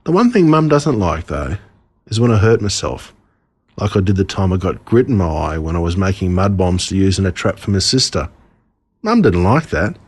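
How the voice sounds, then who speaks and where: Australian male storyteller, slightly deep and raspy, deliberate and theatrical delivery for horrible children's tales. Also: big pitch swings, a little mellow. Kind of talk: scripted storybook narration told as a boy.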